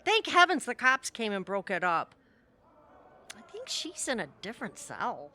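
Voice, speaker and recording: Bright, lively, apologetic suburban mom, acted by a woman. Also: a little high, moderate pace, no room sound, exaggerated voice. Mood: defensive. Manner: defensive, earnest. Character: tipsy-night-out suburban mom, apologetic and loyal friend. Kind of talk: audio theatre comedy acting.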